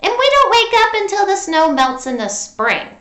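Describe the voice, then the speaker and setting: A firm mother frog, played by a woman reading a storybook, calls out instructions in a high, loudly projected voice. Her words rise and fall broadly, with noticeable room echo. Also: big pitch swings, normal speed, full character voice. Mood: firm, scolding. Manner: calling out firmly, explaining. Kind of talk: children's storybook read-aloud character. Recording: roomy home recording with echo.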